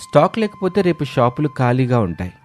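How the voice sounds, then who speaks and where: A man's voice: medium pitch, big pitch swings, brisk, faint music behind, close to an everyday voice. A man voicing a sly office colleague who feigns humility, urging and ingratiating, in a moral story. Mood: falsely humble. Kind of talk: animated moral story dialogue.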